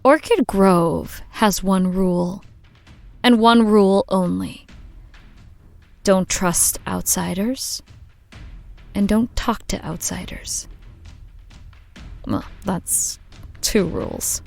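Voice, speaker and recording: A woman's voice: medium pitch, slow pace, creaky, long pauses, a little mellow, faint music behind. A woman playing a sardonic, grieving, foul-mouthed woman pressing for answers in a radio drama. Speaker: actor in an audio drama.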